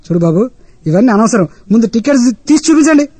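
Man doing a fed-up ticket collector, mellow dark voice, dry sound. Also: very high-pitched, sing-song, quick pace, no room sound, exaggerated voice. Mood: exasperated. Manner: exasperated, pleading, formal address.

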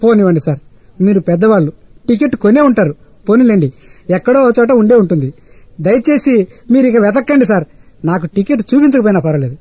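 Man doing a fed-up ticket collector, mellow dark voice, dry sound. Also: high-pitched, very animated, quick pace, no room sound. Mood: exasperated. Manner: exasperated, pleading, formal address.

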